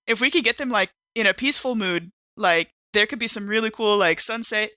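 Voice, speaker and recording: A woman's voice: medium pitch, a little fast, pushed and bright, dry recording, studio quality, close to an everyday voice. A woman playing a chatty, playful, slangy member of a space expedition, rambling breezily in a tabletop roleplay. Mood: musing. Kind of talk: improvised tabletop roleplay banter.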